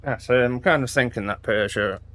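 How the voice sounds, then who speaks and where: A man's voice: mid-range voice, a little fast, a bit bright. A man casually thinking aloud while playing a racing game, hesitant and rambling. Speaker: gameplay streamer. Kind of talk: casual gaming commentary to himself.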